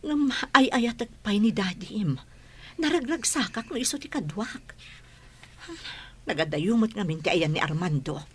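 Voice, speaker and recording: A woman playing a sly, greedy cheating mother in a radio drama, husky and animated. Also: mid-range voice, moderate pace. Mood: conniving. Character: scheming, greedy adulterous mother living off a husband's remittances.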